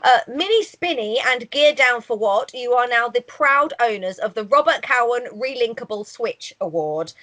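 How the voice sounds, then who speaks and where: A woman's voice: on the high side, lively intonation, moderate pace, few pauses, a bit bright. Giggly woman hosting a podcast. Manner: giggly, chatty, playful. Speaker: podcast co-host. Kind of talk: casual podcast chat.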